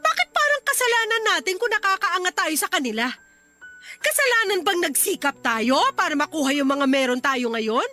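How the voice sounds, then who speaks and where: A woman's voice: very high-pitched, big pitch swings, a little fast, sharp tone, faint music behind, exaggerated voice. A woman playing an angry young Filipino wife and mother in a radio drama, scolding emphatically and confrontationally. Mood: indignant, exasperated.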